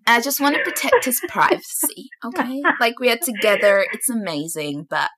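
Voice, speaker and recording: A woman's voice: fairly high pitch, normal speed, background noise. A woman chatting casually with a friend in a teasing, playfully dramatic way. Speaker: podcast host. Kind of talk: casual chat between friends.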